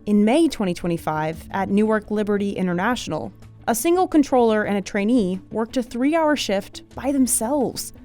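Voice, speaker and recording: A woman's voice: mid-range voice, animated, normal speed, warm tone, faint music behind. An American woman reading scripted documentary narration as the narrator of a news feature.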